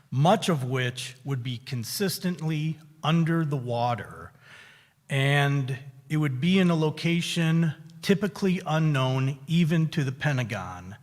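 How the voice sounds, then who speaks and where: Slow, measured man in a reverberant sanctuary. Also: mid-range voice. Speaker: veteran guest speaker. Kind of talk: personal reflection at a synagogue service. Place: synagogue sanctuary. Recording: reverberant hall.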